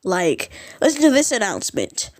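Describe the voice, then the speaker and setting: A woman's voice: medium pitch, huge pitch swings, moderate pace. A woman giving casual, rambling vlog commentary in an informal, unsure and self-deprecating way. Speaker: vlogger ranking subway announcements.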